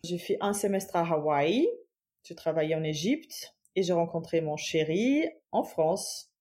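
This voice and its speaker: Mellow-voiced German woman in her thirties. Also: fairly low pitch, lively intonation, a little slow. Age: 30s. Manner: hesitant, many fillers and restarts, light laughs. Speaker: guest, entrepreneur. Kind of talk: casual interview chat.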